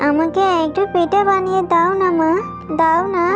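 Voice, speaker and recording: A sensitive little cartoon girl with a very high, childlike voice and a whining, pleading way of talking. The sound is slightly dull, with children's music behind. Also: steady tone, normal speed. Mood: sad, pleading.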